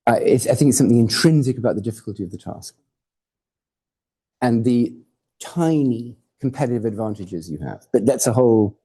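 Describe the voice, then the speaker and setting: A man's voice: medium pitch, expressive, a little fast, warm tone, breathy edge. A man from Hawaii, raised in Portugal and England, giving an informal, digressive academic lecture with jokes and the odd swear word. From born in Hawaii, raised in Portugal and England. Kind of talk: academic talk with slides. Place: lecture hall.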